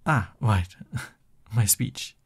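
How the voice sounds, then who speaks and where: Man playing a breathy, lovestruck villain boyfriend. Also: fairly low pitch, animated, heavily acted. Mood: playful, affectionate. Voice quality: soft, breathy. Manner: slow, tender, bashful. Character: lovestruck, flustered supervillain boyfriend, soft and doting. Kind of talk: intimate ASMR boyfriend roleplay.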